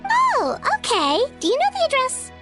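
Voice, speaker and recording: A squeaky little girl, very high and swooping. She is a bubbly, cheeky game companion who speaks bluntly and curiously. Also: wildly swooping pitch, normal speed, bright, forceful tone, faint music behind, full character voice. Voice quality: squeaky, childlike. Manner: exclamatory, cheeky. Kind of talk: video game character dialogue.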